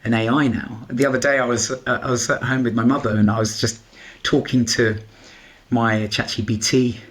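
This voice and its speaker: A man, a native Bengali speaker, guest on a podcast interview, explaining at length in halting speech full of restarts and fillers. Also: mid-range voice, flat delivery, fairly bright tone. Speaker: guest, AI company co-founder.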